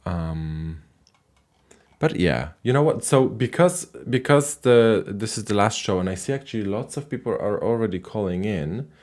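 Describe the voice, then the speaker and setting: A man hosting a live call-in language show, casual and halting, with many fillers and restarts. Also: on the low side, lively intonation, darkish tone.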